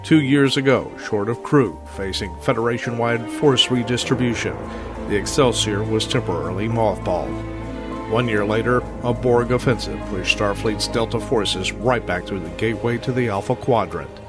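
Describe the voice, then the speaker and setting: Low-voiced solemn sci-fi narrator played by a man. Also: moderate pace, lightly acted. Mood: solemn, dramatic. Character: solemn science fiction story narrator, man. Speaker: narrator, announcer. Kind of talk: dramatic scripted narration. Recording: music behind the voice.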